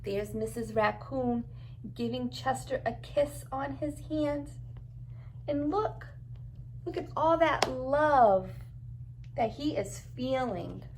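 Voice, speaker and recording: A woman's voice: sits high, slow pace, noisy background. A woman reading a storybook aloud to young children like a preschool teacher, adding encouraging asides and simple questions.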